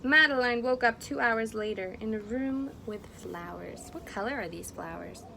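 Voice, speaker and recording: A woman teacher doing an interactive picture-book read-aloud for young children, expressive and encouraging. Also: on the high side, moderate pace. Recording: clearly noisy background.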